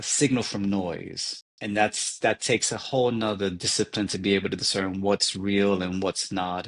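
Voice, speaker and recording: A man in his forties from New York City, talking in an interview as a leadership advisor, measured and reflective. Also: fairly low pitch, a bit bright. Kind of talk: interview conversation.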